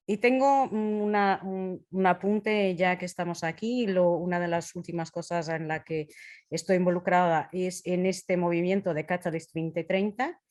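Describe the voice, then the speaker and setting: Female presenter, 50s, native Portuguese speaker with a Portuguese accent. Slightly low register, very slow delivery, halting and searching for words, informal slide-talk style. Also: darkish tone. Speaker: presenter leading a talk on impact measurement.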